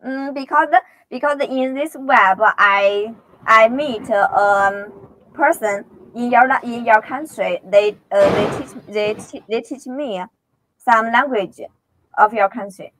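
A young woman from China, a native Mandarin speaker, chatting in careful, halting English and searching for words. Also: fairly high pitch, a bit bright, slight background noise, low-quality recording. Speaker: video chat stranger. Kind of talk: casual chat. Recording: music playing under voice.

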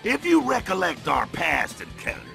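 A cocky alien clone villain for a video game. He has a gravelly Southern American drawl and a folksy, long-winded way of talking, with music faintly behind him. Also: sits high, huge pitch swings, normal speed, fairly bright tone, full character voice. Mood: smug, menacing.

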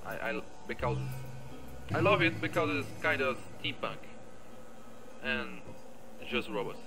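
A man giving casual gameplay commentary, thinking aloud in halting short fragments over game music. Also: medium pitch, vocal fry. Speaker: gamer narrating own playthrough. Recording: music behind the voice.

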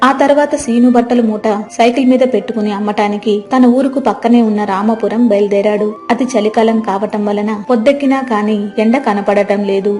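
A woman's voice: mid-range voice, steady tone, a little fast, few pauses, dark tone, soft background music. A woman reading scripted narration for a moral story.

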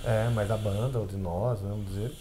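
A middle-aged Brazilian man talking as a guest on a couch, an animated storyteller who stumbles and repeats words. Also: on the low side, normal speed, a little hiss or hum. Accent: Brazilian Portuguese. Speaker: guest musician. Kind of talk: casual interview conversation. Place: indoor living room set on a couch.

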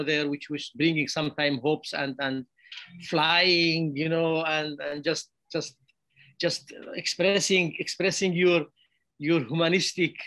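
A middle-aged man from Syria, a film director answering festival questions over a video call, halting and restarting often. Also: fairly high pitch, a bit bright, dry recording. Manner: halting, many restarts and repeated words. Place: bright room with plants.

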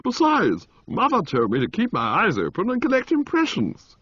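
A man reading aloud and voicing a giddy, carefree young male aristocrat in a high, chirpy, exclamatory voice. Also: wildly swooping pitch, moderate pace, fairly bright tone, breathy edge, no echo, full character voice. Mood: gleeful. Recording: dull lo-fi, dry.

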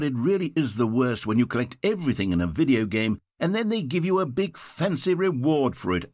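A smug British game narrator. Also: male voice, darkish tone, slightly rough, dry recording, exaggerated voice. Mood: musing. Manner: theatrical, swinging wildly in pitch. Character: smug, scheming male narrator, middle-aged. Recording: dry studio voice-over.

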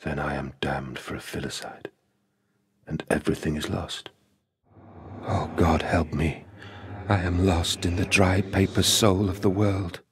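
A grave, brooding father in a dramatic video game. His extremely deep, husky voice carries heavy remorse, delivered slowly and mournfully. A long reverb trails his words. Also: steady tone, full character voice. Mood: anguished. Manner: slow, mournful, weighty theatrical delivery.

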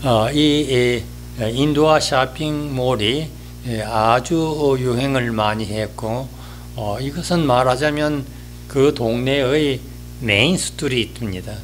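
An elderly man in his eighties giving a reminiscing monologue, speaking haltingly with frequent drawn-out hesitations. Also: mid-range voice, slight background noise. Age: 80s. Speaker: newspaper-style columnist giving a talk. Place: indoors, small office or studio, seated.

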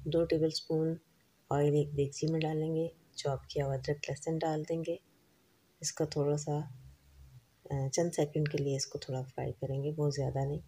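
A woman narrates a home cooking recipe. Her voice sits low and a little husky. She walks through ingredients and steps in a calm, patient manner. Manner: calm, instructive, lists ingredients and quantities. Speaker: cooking channel host. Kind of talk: step-by-step recipe voice-over.

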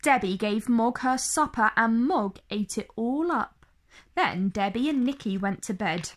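A woman reading a children's story aloud in an expressive way, phrasing each line clearly and deliberately for young listeners. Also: fairly high pitch, a little hiss or hum. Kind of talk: slow, expressive picture-book read-aloud.

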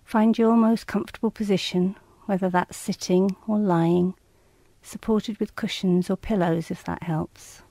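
Mellow, soothing woman guiding relaxation. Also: lowish voice, no echo. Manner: calm, measured, soothing, clinical. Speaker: relaxation instructor. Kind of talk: guided relaxation, scripted instructions.

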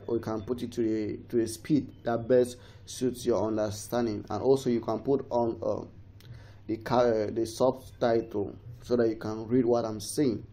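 Man with a cold, mellow voice. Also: mid-range voice. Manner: rambling, restarts often, pleading with viewers. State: has a cold. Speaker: tech tutorial host. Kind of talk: casual screen-recorded tutorial.

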